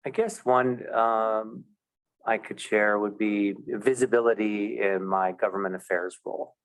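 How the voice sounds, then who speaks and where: A man taking part in a workshop answers the facilitator hesitantly, with pauses and fillers. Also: lowish voice, steady tone, poor audio. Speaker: workshop participant.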